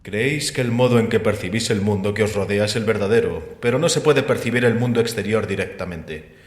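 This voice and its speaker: A male actor plays a learned, unshakeable sceptic in an audio drama. His low voice lays out arguments calmly and deliberately, with gentle mockery and probing questions. It rings through an echoing hall with a long reverb tail. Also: close to an everyday voice. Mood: calm, didactic. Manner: measured, explanatory, rhetorical questioning. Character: calm, sceptical, erudite man called Revelation, gently mocking. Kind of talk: Spanish audio drama acting.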